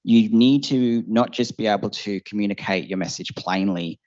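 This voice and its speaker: Low-voiced young man on a panel. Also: normal speed, darkish tone. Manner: thoughtful, many fillers and restarts. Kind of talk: panel discussion answer. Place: seminar hall before an audience. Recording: muffled lo-fi event recording.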